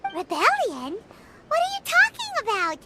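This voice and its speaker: Squeaky, excitable little fairy companion. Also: female voice, moderate pace, a little hiss or hum, heavily acted. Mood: confused. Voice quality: squeaky, childlike. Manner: refers to herself in third person, blunt questioning. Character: small childlike female fairy companion, excitable and indignant. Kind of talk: video game character acting.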